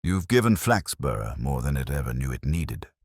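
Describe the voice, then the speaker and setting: Guy doing kindly detective inspector man, storybook style, really low voice, wry but gentle, dry sound. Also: sing-song, moderate pace, no echo, heavily acted. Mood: reassuring, wry. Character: kindly, thoughtful male police inspector. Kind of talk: storybook character dialogue.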